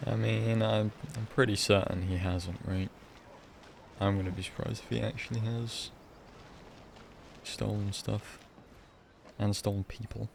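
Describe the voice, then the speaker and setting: A man's voice: on the low side, little pitch movement, a little slow, gravelly, long pauses, background noise. A man doing casual live gameplay commentary, musing aloud to himself as he streams. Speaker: game livestreamer. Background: game music.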